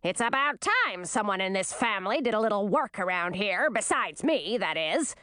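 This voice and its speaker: A woman voicing a blunt, sharp-tongued, crowing queen mother in a storybook reading. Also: mid-range voice, animated, normal speed, clear, resonant tone, no echo, studio quality. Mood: crowing, scornful. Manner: snappish and blunt.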